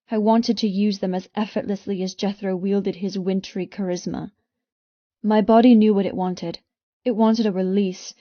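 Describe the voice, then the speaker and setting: A woman reading first-person romance fiction as an audiobook narrator, with intense, expressive delivery. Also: medium pitch, no echo, muffled recording.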